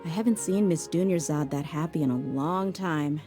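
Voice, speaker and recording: An actress voicing a tough female mercenary bodyguard who is blunt and casual but soft at heart, in a game cutscene. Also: low voice, moderate pace, over music. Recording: game score softly behind.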